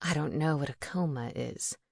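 A woman's voice: sits low, normal speed, creaky, darkish tone, slightly rough, dry recording, clean studio sound, pitched below the performer's own voice, heavily acted. A woman voicing a bossy male owl, a witch's familiar in an audiobook, insistent and commanding. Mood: grave, matter-of-fact.

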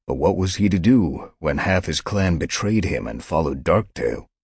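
A man playing a weary former leader tom cat, low and husky in a close, dry reading. He talks quietly, with a sigh in his tone. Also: expressive, moderate pace, dry recording, heavily acted. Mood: regretful. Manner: quiet, sighing.

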